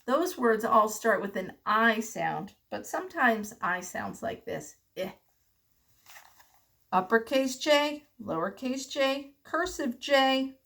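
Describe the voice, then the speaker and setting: Woman, higher-pitched voice, very slow pace, children's read-aloud lesson, deliberate enunciation. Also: lively intonation, creaky, fairly bright tone. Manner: deliberate enunciation, prompting with questions. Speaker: teacher reading an alphabet picture book to young children.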